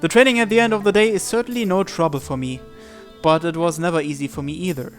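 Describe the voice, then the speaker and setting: A man's voice: a little high, quick pace, fairly bright tone, soft background music. A man narrating a visual novel in the first person, reading from the script.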